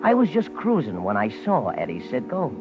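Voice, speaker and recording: An actor in a 1950s radio drama playing a chatty, streetwise Chicago cab driver with a matter-of-fact manner. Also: male voice, mid-range voice, wildly swooping pitch, moderate pace. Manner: talkative, casual, matter-of-fact. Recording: muffled 1950s radio recording, loud music.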